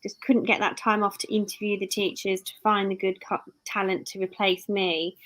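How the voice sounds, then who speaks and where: Brief: British woman in her thirties, casual live-stream host, chatty and rambling, mid-pitched. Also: level tone, normal speed, fairly bright tone, rough recording. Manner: rambling, self-correcting.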